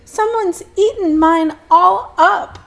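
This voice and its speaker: A woman's voice: very high voice, slow pace, vocal fry, pitched above the performer's own voice, full character voice. A woman reading a storybook and voicing a small, shy little boy who wails over his losses. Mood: wailing. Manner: wailing, then shy and kind.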